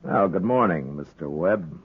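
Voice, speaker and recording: An actor playing a terse, authoritative frontier marshal with an American accent in a 1950s radio western. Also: male voice, low-pitched, expressive, measured pace, breathy edge, narrow, tinny audio, exaggerated voice. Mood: guarded, dry. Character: stern, laconic frontier town marshal, steady authority. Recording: thin old radio broadcast recording.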